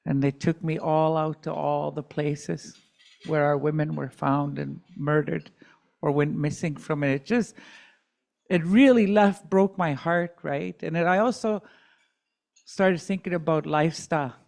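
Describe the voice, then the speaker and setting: A woman's voice: sits low, unhurried, creaky, a little mellow. A middle-aged woman advocate giving candid personal testimony at a community dialogue, with joking asides.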